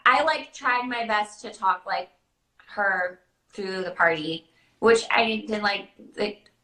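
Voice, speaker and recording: A woman's voice: medium pitch, takes it slowly, bright, forceful tone. A young woman in casual conversation as a guest performer, talking in a hesitant, self-interrupting way. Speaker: princess party performer, guest. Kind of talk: casual chat. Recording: roomy, echoing sound.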